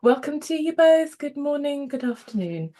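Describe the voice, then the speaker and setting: A woman co-hosting a podcast with a slightly croaky voice, talking in a rambling, friendly way with fillers. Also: high-pitched, big pitch swings, moderate pace, dark tone. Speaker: podcast co-host.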